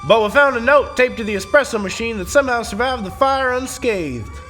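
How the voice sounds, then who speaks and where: Man acting bright, very high-pitched emergency official. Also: animated, a little fast, slight background noise. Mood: matter-of-fact. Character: matter-of-fact male emergency official reporting the scene. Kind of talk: comedic audio drama acting.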